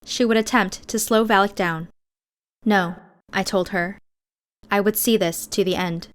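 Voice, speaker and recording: A woman's voice: mid-range voice, fairly even intonation, a little slow. A woman reading scripted first-person fiction as an audiobook narrator.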